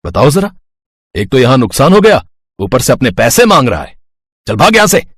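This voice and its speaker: A man's voice: medium pitch, sing-song, moderate pace, a bit bright, breathy edge, no room sound, clean studio sound. Man acting a haughty, stingy village landlord. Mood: angry. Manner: scolding, domineering. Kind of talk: dramatised moral story acting.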